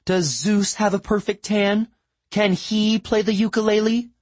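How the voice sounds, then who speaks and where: A man's voice: sits high, flat delivery, unhurried, a little mellow, voice pushed up. A man voicing a vain, melodramatic male sun god who wails and pities himself. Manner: wailing, theatrical and self-pitying.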